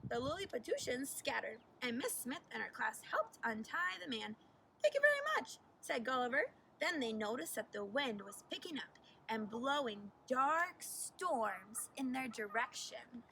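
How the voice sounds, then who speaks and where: A woman narrates a children's picture book in a high, bright voice with animated, deliberate delivery. She is a little far from the mic, with faint noise behind her. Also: creaky. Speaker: teacher reading aloud. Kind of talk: expressive children's storybook narration.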